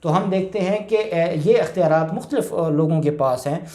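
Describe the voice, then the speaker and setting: A man with a Pakistani accent giving an informal legal explanation, halting and rephrasing his points as he goes. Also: on the high side, darkish tone. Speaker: lawyer explaining law. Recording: roomy, echoing indoor sound.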